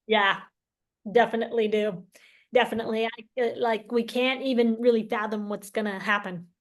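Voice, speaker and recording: High-pitched woman chatting informally. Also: fairly even intonation, normal speed. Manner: informal, emphatic, repeats phrases for emphasis. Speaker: interview host. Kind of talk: casual chat.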